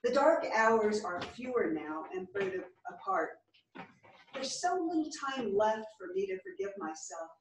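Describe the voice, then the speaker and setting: A woman's voice: fairly high pitch, very animated, a little slow, noisy background. Echoey, distant actress as a wounded, bookish woman. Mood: confessional, pained. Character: frank, bookish, wounded woman in group confession. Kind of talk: staged play reading. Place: small theatre stage. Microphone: far camera. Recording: echoey theatre, far from the microphone.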